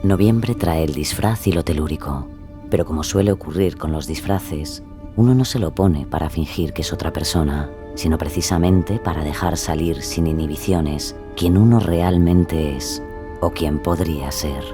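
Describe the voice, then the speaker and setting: Very deep-voiced male narrator. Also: moderate pace, over music. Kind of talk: scripted atmospheric narration.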